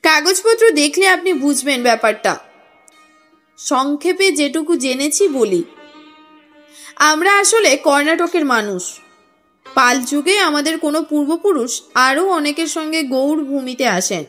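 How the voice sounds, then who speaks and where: Woman doing a formal earnest businessman in an audio story, high voice, takes his time explaining. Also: expressive, a little slow, fairly bright tone. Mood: measured, explanatory. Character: earnest, courteous businessman recounting his family history. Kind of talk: audio story character reading. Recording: music under the voice.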